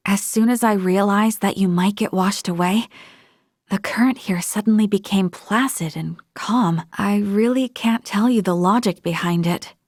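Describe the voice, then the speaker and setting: A woman's voice: mid-range voice, darkish tone, no room sound, studio quality, lightly acted. A woman playing an earnest, polite young female space officer in a video game, speaking in a formal, thoughtful way. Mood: reflective, sincere. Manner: measured, formal, thoughtful delivery. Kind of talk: scripted video game voice acting.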